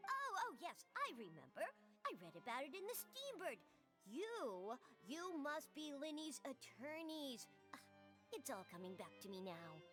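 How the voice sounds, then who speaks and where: An actress voicing an officious, stern young palace guard in a video game, speaking formally and briskly. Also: female voice, sits high, huge pitch swings, pushed and bright, faint music behind, heavily acted. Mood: flustered, relenting.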